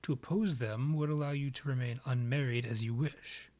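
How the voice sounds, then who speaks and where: A man playing a proud, courtly vampire monarch in a scripted ASMR roleplay, speaking formally and with measured, theatrical phrasing in a husky, breathy voice. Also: medium pitch, steady tone, a little mellow, lightly acted. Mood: measured, advisory.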